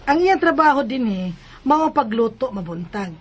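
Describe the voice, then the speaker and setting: A woman's voice: a little high, sing-song, normal speed, breathy edge, dull audio. A woman playing a bossy schoolteacher who employs a housemaid, in a radio drama with a Bisaya Filipino accent. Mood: businesslike, agreeable. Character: bossy female schoolteacher employer, quick to scold.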